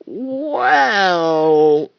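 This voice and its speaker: Man reading a high, eager, childlike soldier, lively swoops, muffled dry recording. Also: extremely slow, vocal fry, no echo, exaggerated voice. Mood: sheepish. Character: young male soldier, cheerful, childlike, excitable.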